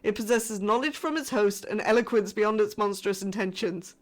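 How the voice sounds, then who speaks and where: A woman playing a frightened spaceship crew survivor sending a distress message in a scripted sci-fi horror audio drama, formal but shaken and halting. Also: medium pitch, close to an everyday voice. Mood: stern warning. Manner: formal reporting that breaks into shaken, halting, emotional delivery.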